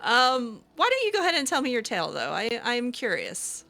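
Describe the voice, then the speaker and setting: A woman's voice: on the high side, huge pitch swings, brisk, creaky, pushed and bright, faint music behind. A woman roleplaying her character aloud in a game, talking back to the characters in a hesitant, giggly way, full of ums and restarts.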